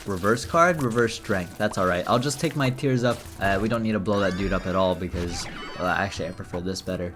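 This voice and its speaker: Low-voiced young man chatting over gameplay. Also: a little fast, hardly any pauses, over music. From Philippines, raised in England. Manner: chatty, laughs often, animated while telling stories. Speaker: gaming streamer. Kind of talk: casual gameplay commentary with storytelling.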